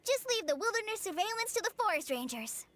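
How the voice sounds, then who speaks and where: A woman voicing an energetic young forest ranger girl in a video game, eager and dutiful. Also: very high-pitched, fast talker, exaggerated voice.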